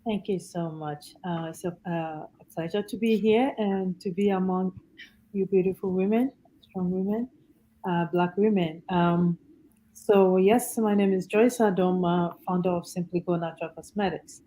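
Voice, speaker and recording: Female panelist, Ghanaian accent, thoughtful halting delivery, conversational. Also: on the low side, fairly even intonation, warm tone, a little hiss or hum, rough recording. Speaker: panelist, entrepreneur. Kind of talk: conversational self-introduction at an online panel.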